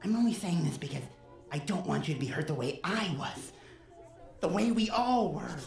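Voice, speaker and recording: A woman's voice: lowish voice, expressive, normal speed, gravelly, darkish tone, faint music behind. A woman acting in an audio drama as an intense, persuasive captive child, speaking earnestly and urgently. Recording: roomy, echoing student audio drama.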